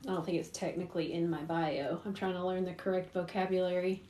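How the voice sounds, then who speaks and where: A woman's voice: lowish voice, fairly even intonation, quick pace, warm tone. A woman hosting an informal livestream, chatting casually and speaking directly to viewers as she answers their questions. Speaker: host, private investigator. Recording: roomy echo.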